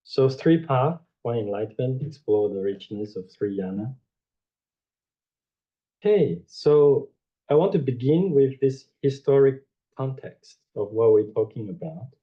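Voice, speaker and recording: A man gives an informal dharma lecture over a video call, unhurried and rambling, with frequent "you know" and self-corrections. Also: mid-range voice, animated. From Taiwan. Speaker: Buddhist teacher giving a talk. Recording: dull lo-fi online audio.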